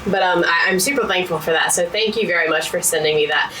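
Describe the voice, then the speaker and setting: A woman co-hosting a casual hobby chat, low-key and matter-of-fact with dry understatement. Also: fast talker, far from the mic, background noise. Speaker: co-host of a diamond painting channel.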